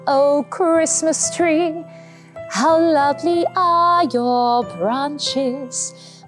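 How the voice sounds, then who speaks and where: A young woman performing as a cheerful, theatrical pantomime sing-along leader, addressing viewers directly. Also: extremely high pitch. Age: young adult. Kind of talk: children's presenter talk and Christmas carol singing. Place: indoor studio set with Christmas trees. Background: instrumental Christmas music.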